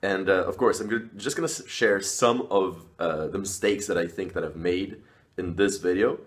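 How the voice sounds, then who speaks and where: A man with a deep, low voice talks straight to his viewers in a language-learning vlog. He speaks in an earnest, measured way, often pausing on "uh" and "you know", his clear throughout. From Brazil. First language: Portuguese. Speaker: language vlogger. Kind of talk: talking-head video advice.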